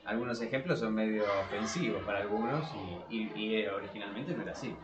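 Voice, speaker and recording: A man with an Argentine Spanish accent in a casual podcast discussion, speaking haltingly and repeating words as he thinks aloud. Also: on the low side, highs cut off, over loud music. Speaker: podcast co-host. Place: small cramped room below a staircase. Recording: roomy echo of a small room.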